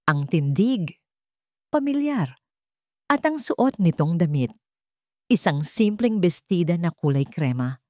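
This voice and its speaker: Expressive low-voiced Filipino woman narrator. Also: dry recording, clean studio sound. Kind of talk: dramatic scripted story narration.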